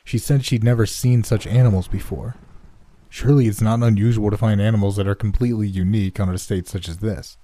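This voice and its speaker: A man voicing a polite, measured astronomer who asks careful questions. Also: fairly low pitch, steady tone, mellow, no echo, natural voice. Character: calm, measured male astronomer.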